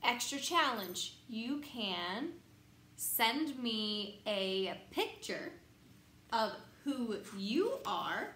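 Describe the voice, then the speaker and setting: A young woman reading a children's bedtime picture book aloud in an expressive, sing-song, teacherly way, emphasizing key words. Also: a little high, very slow. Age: young adult. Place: small glassed-in sunroom. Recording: roomy echo, light background noise.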